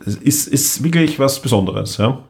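A man's voice: medium pitch, expressive, moderate pace, gravelly, a little mellow. A man with an Austrian accent hosting a podcast in a casual, chatty style. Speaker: podcast host. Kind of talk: casual chat.

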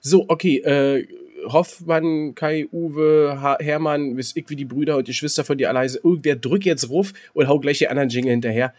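A man's voice: a little high, fairly even intonation, a little fast, few pauses, a little mellow. A man chatting casually as a podcast co-host, repeating words and joking dryly. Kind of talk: casual chat.

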